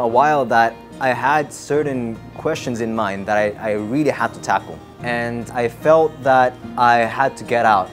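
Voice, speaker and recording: A young man from Japan giving thoughtful, measured interview answers, often pausing and restarting. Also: mid-range voice. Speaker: interviewee, religion student.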